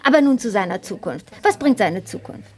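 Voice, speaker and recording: A woman playing a witty, gossipy high-society hostess in a radio play, with breezy, theatrical aristocratic chatter. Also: lively intonation, brisk, natural voice. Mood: airy, amused.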